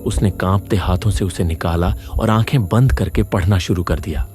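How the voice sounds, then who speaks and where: Low-voiced man narrating horror. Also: moderate pace, darkish tone, soft background music. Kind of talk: scripted dramatic narration.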